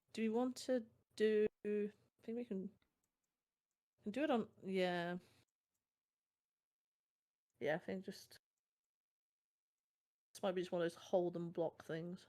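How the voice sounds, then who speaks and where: A woman with a German accent talks through a card game in a relaxed, chatty way. She is German native, often agrees with her partner, and thinks aloud. Her pitch sits mid-range for a woman. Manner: chatty, agreeable, frequent "yeah, exactly" affirmations. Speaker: guest co-streamer. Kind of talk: casual gameplay chat.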